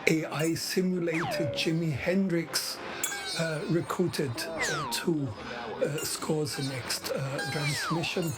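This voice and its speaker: A woman's voice: sits low, slow. A woman narrating a radio play in clipped, portentous, scripted announcements. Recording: loud music behind the voice.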